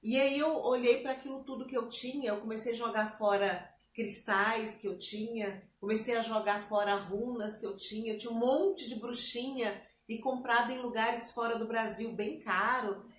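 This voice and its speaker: Lively Brazilian woman telling her testimony. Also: a little high, moderate pace, few pauses, reverberant, a little hiss or hum. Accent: Bahian Brazilian Portuguese. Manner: lively storyteller, acts out her own past words. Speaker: interview guest. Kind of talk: personal testimony, casual chat.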